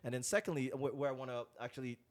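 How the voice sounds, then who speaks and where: A man's voice: mid-range voice, very fast. A man from Guyana hosting a casual podcast interview, thinking aloud with many fillers and restarts. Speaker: podcast host. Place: lives in Colombia.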